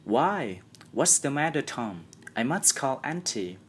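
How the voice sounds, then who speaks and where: A man voicing a worried, excitable young boy, an anxious brother in an audiobook story. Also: medium pitch, takes it slowly, slight background noise, voice pushed up.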